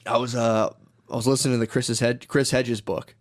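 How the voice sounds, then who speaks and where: A man's voice: medium pitch, little pitch movement, fairly quick. A man chatting casually and crudely as a comedy podcast host, rambling through profane stories and acting out bits of dialogue. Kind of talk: casual, crude comic chat.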